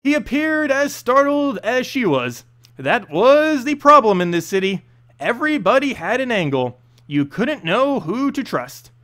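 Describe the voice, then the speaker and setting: A man reads fantasy fiction aloud as narrator. His voice is high for a man and moves up and down a lot. The delivery is dramatic and expressive, though a little halting. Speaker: narrator reading a story aloud.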